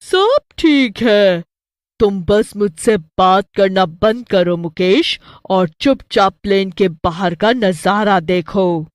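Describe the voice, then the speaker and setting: A woman's voice: mid-range voice, animated, slow pace, dry recording, clean studio sound. A woman voicing a gloating, bloodthirsty witch with a menacing, cackling manner in a cartoon horror story. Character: gloating, bloodthirsty witch who preys on people.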